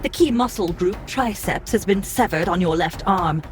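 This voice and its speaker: A man's voice: high-pitched, moderate pace, pitched above the performer's own voice, lightly acted. A male narrator voicing the impersonal system voice of a video game world, announcing damage and kills. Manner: flat, announcing tone. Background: background music.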